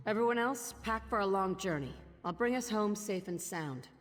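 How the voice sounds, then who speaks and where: A woman voicing a determined, guarded female thieves guild leader in a video game, resolute and measured. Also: medium pitch, lively intonation, long reverb tail, soft background music, lightly acted.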